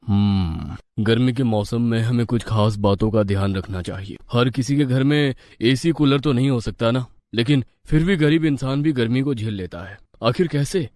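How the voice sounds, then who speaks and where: A man voicing a calm village doctor who reassures and advises in a measured way, in a moral-story cartoon. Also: medium pitch, no room sound, clean studio sound, close to an everyday voice. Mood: advisory.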